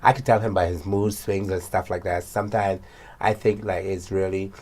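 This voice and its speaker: A woman from Barbados with a Bajan accent, telling personal stories haltingly as an interview guest. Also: rumbling low, steady tone, a little mellow. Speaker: talk show guest.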